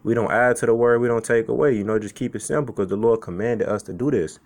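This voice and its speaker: A man of middle pitch preaches in a relaxed, conversational way, often tacking "man" or "okay" onto his lines. He reads verses aloud and then talks them over informally, like a street preacher from Chicago. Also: fast talker, gravelly. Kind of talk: informal sermon with scripture reading.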